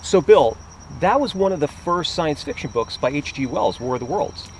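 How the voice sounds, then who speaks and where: Animated man interviewing. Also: on the high side, normal speed, a little hiss or hum. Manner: inquisitive, short prompting questions. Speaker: host and interviewer. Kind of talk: casual on-location interview.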